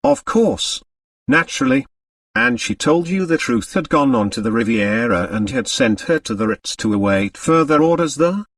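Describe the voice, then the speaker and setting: A male reader plays an older millionaire boss in a mystery audiobook. He is brusque, preoccupied and insistent, with sharp swings in intonation. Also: medium pitch, very animated, moderate pace, recorded from a distance. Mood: impatient. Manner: curt, insistent. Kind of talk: audiobook character voice.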